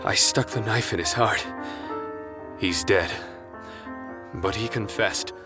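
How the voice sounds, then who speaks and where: A man's voice: fairly low pitch, slow, loud music behind the voice. A young man acting a defiant, bitter, vengeful son in a student radio drama, with terse, accusing delivery. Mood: grim, resigned. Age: young adult.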